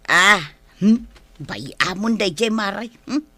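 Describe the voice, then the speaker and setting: Comic drama: woman playing evasive, flustered village woman, Ilocano accent, sharp bright voice, hesitant delivery. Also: mid-range voice, lively intonation, slow pace, no room sound, exaggerated voice. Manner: hesitant, full of filler interjections. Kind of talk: comedic radio-style drama acting.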